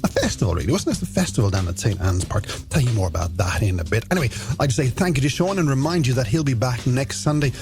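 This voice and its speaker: A man's voice: mid-range voice, big pitch swings, fast talker, few pauses. An Irish man presenting a late-night music radio show, chatting companionably to listeners in scripted links. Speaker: radio host. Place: radio studio. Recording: music bed behind the voice.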